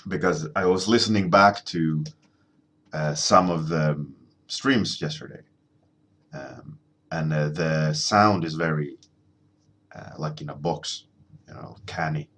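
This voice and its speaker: A man in his thirties from Sweden with a deep voice, chatting casually and rambling as he streams himself drawing, answering his chat at a desk microphone. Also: fairly even intonation, fairly bright tone. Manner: rambling, halting, many ums and restarts, chuckling, answering chat. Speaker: artist streaming a drawing session.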